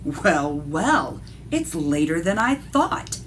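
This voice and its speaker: A woman's voice: sits low, very animated, takes it slowly, fairly bright tone, slight background noise, exaggerated voice. A woman voicing a breezy, chatty male blue jay from a children's picture book. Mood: surprised, curious.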